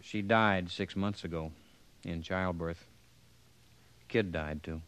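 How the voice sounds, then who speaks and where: Weary, folksy Western drifter. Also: male voice, low-pitched, fairly even intonation, slow, a bit bright, clear, resonant tone. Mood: subdued. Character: weary, plain-spoken drifter cowboy, Civil War veteran. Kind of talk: old-time radio western acting.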